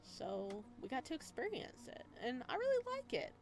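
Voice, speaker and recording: A woman's voice: high voice, wildly swooping pitch, moderate pace, gravelly, music in the background. A woman hosting a gaming video, giving casual, chatty, giggly let's play commentary. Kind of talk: let's play commentary and reading game text aloud.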